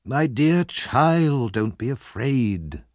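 A man voicing a kindly, trusting older gentleman in a storybook, earnest and measured. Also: fairly high pitch, big pitch swings, dry recording, studio quality, voice pushed up. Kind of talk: audiobook character reading.

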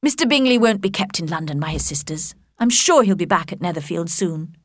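A woman voicing a level-headed young woman who speaks in a steady, reassuring way. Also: lowish voice, a little fast, no room sound, clean studio sound.

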